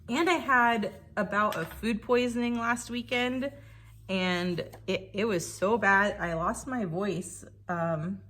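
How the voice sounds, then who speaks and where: A woman with a slightly croaky voice, chatting casually and thinking aloud while she works on a scrapbook page. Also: medium pitch, slow pace, fairly bright tone, a little hiss or hum. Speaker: scrapbooking creator. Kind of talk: casual crafting commentary.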